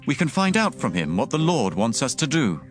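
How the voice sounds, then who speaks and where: A loyal, devout king acted by a man speaks with stately resolve in a scripture drama. The pitch is moderate and the inflection very varied. Quiet music plays behind him. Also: sing-song, brisk, close to an everyday voice. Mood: grave. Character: Jehoshaphat, loyal pious king of Judah. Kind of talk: dramatized Bible audio drama.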